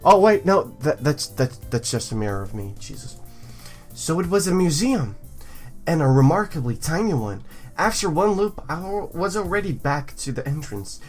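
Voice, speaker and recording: A male game host from the United States with a very lively, varying pitch. He narrates and riffs in a goofy, joking manner over soft background music. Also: moderate pace. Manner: jokey, goofy, animated. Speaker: gaming video host. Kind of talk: let's play commentary and narration.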